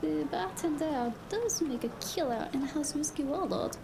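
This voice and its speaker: A woman's voice: high voice, animated, moderate pace, vocal fry, background noise. A woman playing a polite, formal hostess at an upscale lounge in a noir audio drama. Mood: courteous. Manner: courteous, formal service tone. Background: music.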